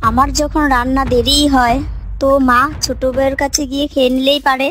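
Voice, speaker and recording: A woman's voice: sits high, little pitch movement, fairly quick, fairly bright tone, a little hiss or hum. A woman voicing a spiteful, quarrelsome daughter-in-law in a cartoon, complaining and snappy. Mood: irritated complaining. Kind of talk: cartoon moral story voice acting.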